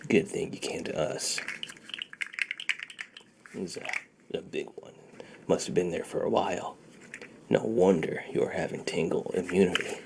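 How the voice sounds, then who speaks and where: A man doing a soft-spoken, half-whispered ASMR roleplay in a soothing, reassuring manner, murmuring and repeating himself close to a sensitive microphone. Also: low-pitched, measured pace, vocal fry, pauses often, background noise. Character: friendly shady dealer treating a client's tingle immunity. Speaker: ASMR performer.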